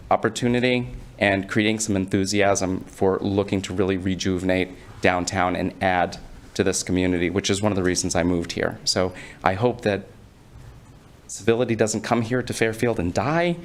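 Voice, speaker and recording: A man giving an earnest, somewhat halting public comment at a town council meeting. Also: low-pitched, fairly even intonation. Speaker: resident speaking at public comment.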